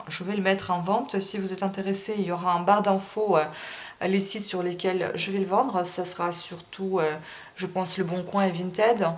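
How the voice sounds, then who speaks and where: Non-native woman reading aloud, hesitant. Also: on the low side. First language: not French. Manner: hesitant, many fillers and restarts; emotional, admits crying while reading. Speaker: audiobook reader. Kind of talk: reading a novel aloud, then casual chat.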